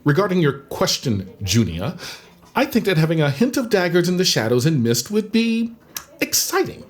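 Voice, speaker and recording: A woman's voice: lowish voice, sing-song, normal speed. A woman playing a grand, superstitious circus thespian in an audio drama, speaking in a declamatory, precise, dramatic manner. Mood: earnest, dramatic. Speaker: voice actor. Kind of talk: theatrical audio drama acting.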